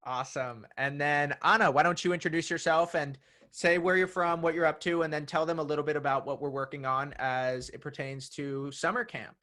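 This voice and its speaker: A man hosting an online writing workshop, upbeat and energetic as he introduces the session. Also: on the high side, brisk. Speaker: workshop host. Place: San Francisco.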